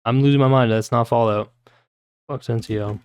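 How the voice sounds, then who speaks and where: A man's voice: medium pitch, fairly even intonation, moderate pace, darkish tone, smooth, no echo. Casual male streamer, dry close sound. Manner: informal, swearing, breaking off into puzzled asides. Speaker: livestreamer. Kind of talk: casual livestream chat and reading a novel aloud.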